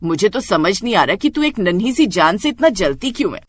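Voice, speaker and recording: A woman's voice: medium pitch, sing-song, fairly quick, slightly rough, no echo. A woman voicing a stern middle-aged mother in a cartoon family story, scolding reproachfully.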